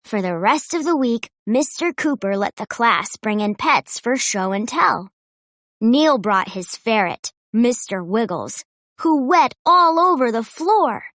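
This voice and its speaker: A woman reading a children's storybook aloud in an expressive, playful way. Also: fairly high pitch, unhurried, no echo. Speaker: children's book reader. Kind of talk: storybook read-aloud narration.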